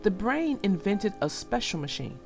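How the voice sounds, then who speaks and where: A woman narrating a storybook for young children in an expressive, deliberate way. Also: mid-range voice, gravelly, soft background music.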